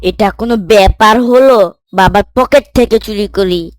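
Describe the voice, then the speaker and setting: A woman's voice: medium pitch, measured pace, dry recording, natural voice. A woman voicing a sly, cocky young boy in a children's moral cartoon, coaxing and brash in manner. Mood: brazen, defensive.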